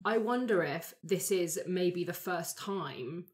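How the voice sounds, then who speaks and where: A woman's voice: lowish voice, slow. A woman playing a tabletop roleplaying game, thoughtfully working out character ideas aloud in casual conversation. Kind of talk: casual collaborative character discussion.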